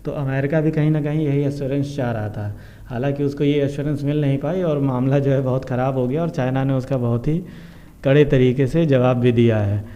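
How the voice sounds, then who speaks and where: A very dark, mellow male voice with an Indian accent, heard through a dull, lo-fi recording. He explains current affairs to viewers informally, circling back over his points and dropping in English terms. His pitch sits at an average level for a man. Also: a little fast. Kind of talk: informal news explainer commentary addressed to viewers. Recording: dull, muffled lo-fi sound.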